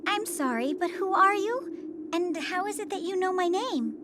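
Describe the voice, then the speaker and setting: A woman's voice: very high voice, lively intonation, normal speed, very noisy. An actress playing a polite, innocent, earnest schoolgirl in an anime dub. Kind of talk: dubbed anime acting. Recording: music loud behind the voice.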